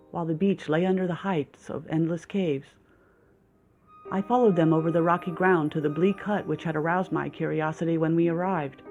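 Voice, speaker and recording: A man's voice: a little high, darkish tone. A man narrating a first-person story in a dry, wry tone. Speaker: audiobook narrator. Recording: dull lo-fi recording.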